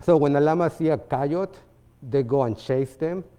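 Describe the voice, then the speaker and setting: Peruvian-accented man, dark mellow voice. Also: on the high side, smooth. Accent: Peruvian Spanish. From Peru. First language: Spanish. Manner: halting, many fillers and restarts, non-native phrasing. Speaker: visiting artist giving a seminar talk. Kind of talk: lecture presenting his artwork.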